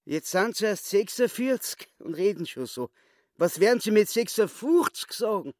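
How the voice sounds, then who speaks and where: An actor playing a boastful little hustler in a radio play, self-important and excitable, with a Viennese accent. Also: male voice, high-pitched, normal speed, no echo, studio quality. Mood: irritated. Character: cocky little hustler, boastful would-be world champion.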